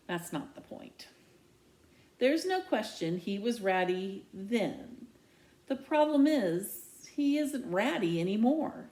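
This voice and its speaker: A woman reading a children's novel aloud in the first person, with expressive, emphatic storytelling. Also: mid-range voice. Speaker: read-aloud reader. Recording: echoey, roomy home recording.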